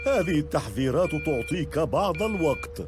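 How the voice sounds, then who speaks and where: Cartoon dad pig male actor: confident bumbler, lively intonation, higher than average, explanatory. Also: fairly quick, loud music behind the voice, lightly acted. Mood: self-assured. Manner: self-assured, explanatory. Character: confident, bumbling, good-natured father pig. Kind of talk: Arabic-dubbed preschool cartoon.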